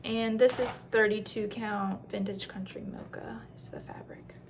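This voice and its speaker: A woman's voice: mid-range voice, fairly even intonation, a little slow, in a whisper. A woman chatting casually through a craft show-and-tell as host, in a rambling way with self-deprecating asides.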